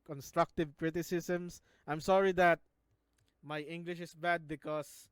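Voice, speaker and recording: A man's voice: fairly high pitch, little pitch movement, measured pace, clear, resonant tone, dry recording. A man from the Philippines with a Filipino accent, talking casually in a video as he analyzes scenes, often stopping to restart words. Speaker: YouTuber analyzing a show.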